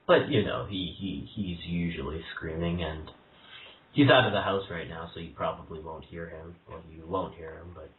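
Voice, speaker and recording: A man's voice: low voice, normal speed. A young man making a prank phone call, stammering and hesitant in a mock-earnest tone. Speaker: prank caller. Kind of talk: prank phone call, posing as a troubled single father. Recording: tinny phone line, echoey room, faint hiss.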